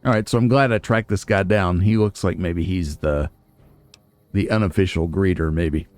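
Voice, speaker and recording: A man's voice: sits low, normal speed, a little mellow, no room sound. A man hosting a video game playthrough, chatting casually and musing aloud with wry asides. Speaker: let's play host. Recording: game music behind the voice.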